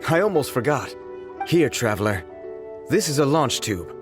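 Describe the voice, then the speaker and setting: A man's voice: mid-range voice, big pitch swings, moderate pace, over music, natural voice. A man playing a deferential, dutiful clerk in a video game, polite, earnest and slightly flustered. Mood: helpful. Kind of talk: video game dub acting.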